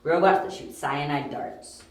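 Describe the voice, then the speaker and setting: A man playing a clever, determined young detective in an amateur audio drama, wry and quick-witted. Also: fairly high pitch, natural voice. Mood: wounded, resolute. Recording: echoey room, amateur home recording.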